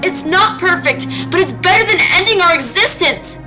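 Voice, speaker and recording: A woman's voice: very high voice, animated, moderate pace, rough recording. A woman voicing an earnest, pleading male skeleton in a dramatic comic dub, with music behind. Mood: protesting.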